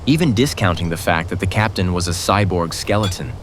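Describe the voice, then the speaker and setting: A man's voice: low voice, animated, fairly quick, soft background music. A man narrating a scripted audio drama as a dramatic storyteller.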